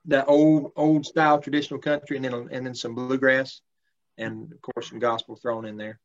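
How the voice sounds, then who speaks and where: A man, a country singer talking casually in an interview in a relaxed, polite way with many fillers. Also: medium pitch, moderate pace. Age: 30s. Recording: slightly dull lo-fi sound.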